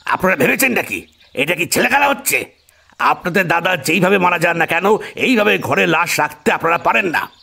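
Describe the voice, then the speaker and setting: An actor playing a bossy, exasperated police officer in an audio drama, barking orders impatiently in a raspy voice. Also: male voice, high voice, expressive, moderate pace, sharp tone, full character voice. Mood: indignant.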